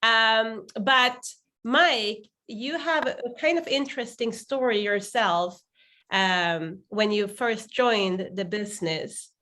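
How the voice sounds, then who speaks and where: A woman's voice: on the high side, slow, sharp tone. A woman hosting an online team call, chatty and drawn out with many fillers. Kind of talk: casual online meeting hosting.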